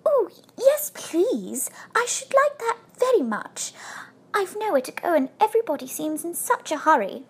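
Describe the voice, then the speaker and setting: A woman plays a little boy bear in a children's storybook. The voice is small and high, with a lively lilt. He speaks with earnest politeness and a touch of proud self-importance. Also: normal speed, full character voice. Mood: eager. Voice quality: small, clear voice.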